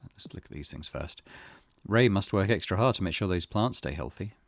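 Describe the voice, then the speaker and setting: A man's voice: low voice, animated, moderate pace, gravelly, no room sound, clean studio sound. A man hosting a let's play, reading game text aloud and adding his own commentary.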